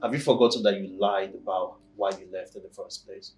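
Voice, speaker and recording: A man's voice: low voice, steady tone, brisk, natural voice. An actor playing a stern, disappointed man who lectures a woman firmly. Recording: faint guitar music underneath.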